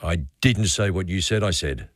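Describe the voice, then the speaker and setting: A man's voice: low voice, expressive, normal speed, no room sound. A man hosting a radio show, dry and teasing with his caller. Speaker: radio host. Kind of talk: casual on-air chat.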